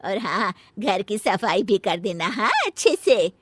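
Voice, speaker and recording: A woman's voice: medium pitch, expressive, measured pace, slightly rough, no echo. A woman voicing a commanding mother-in-law in a family comedy cartoon, handing out orders in a matter-of-fact way. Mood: instructing. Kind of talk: acted Hindi family comedy story.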